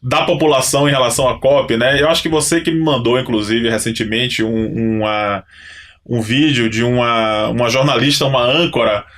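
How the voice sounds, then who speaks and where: A man's voice: mid-range voice, steady tone, moderate pace, a bit bright. A man hosting a casual podcast conversation, thinking aloud and restarting his phrases as he sets up questions. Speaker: podcast host.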